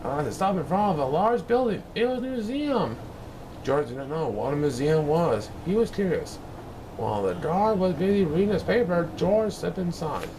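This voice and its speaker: Male storybook reader, fairly high voice with lively swings in pitch, scripted children's narration, audible background noise.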